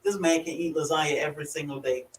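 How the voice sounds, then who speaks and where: A woman with a rural Virginia accent hosting a casual livestream, chatty and quick to laugh as she greets viewers by name. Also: sits low, steady tone, slight background noise. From Virginia, USA. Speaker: livestream host. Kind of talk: casual live chat. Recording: echoey room, far from the mic.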